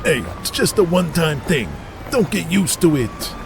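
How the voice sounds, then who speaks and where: Curt, slightly high-voiced tough guy. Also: lively intonation, moderate pace, creaky, music in the background. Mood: irritated, reluctant. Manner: curt, dismissive. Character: gruff, reluctant tough-guy pro wrestler, curt and irritable. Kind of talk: audio drama acting.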